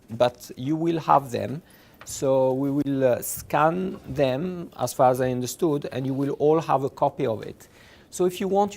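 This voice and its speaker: A man with a French accent giving an informal university lecture, halting and repeating words as he goes, in an approachable way. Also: mid-range voice, darkish tone. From France, originally from Morocco. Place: lecture room with blackboard.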